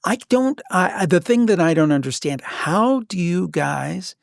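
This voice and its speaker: A man's voice: sits high, animated, measured pace, gravelly, no echo, clean studio sound. A man hosting a podcast interview in a casual, enthusiastic, informal way.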